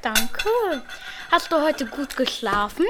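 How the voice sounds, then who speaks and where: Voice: sits high, very animated, slow pace, full character voice. A childlike performer playing an affectionate, caring mother in an amateur school radio play, speaking simply and with endearments. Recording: noisy amateur school recording.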